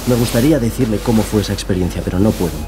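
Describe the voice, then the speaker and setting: A man's voice: lowish voice, racing, music in the background, close to an everyday voice. A man playing a composed, detached convicted killer who confesses and justifies himself in a dramatised interview. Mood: detached confessing.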